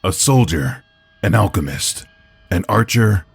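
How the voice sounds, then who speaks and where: An actor playing a grave, weary veteran soldier who speaks in a measured, stoic way in a fantasy audio drama. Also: male voice, sits low, lively intonation, gravelly, husky, heavily acted.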